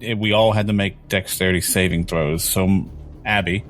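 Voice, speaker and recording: A man with a fairly low voice plays a tabletop game. He talks casually, hesitating as he thinks aloud, with faint music underneath. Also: fairly even intonation, normal speed.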